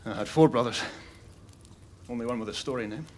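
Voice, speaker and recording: An actor playing a troubled, stubborn young knight in an audio drama, speaking haltingly and with strain. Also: male voice, mid-range voice, slight background noise, lightly acted. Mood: wistful, grieving.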